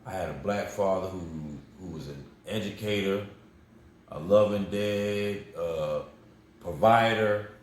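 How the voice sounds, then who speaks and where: Forty-something man, deep voice, echoey room. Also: measured pace. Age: 40s. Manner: rambling, repeats words, warm and proud about family. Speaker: guest. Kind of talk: casual storytelling interview. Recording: very echoey room with faint noise.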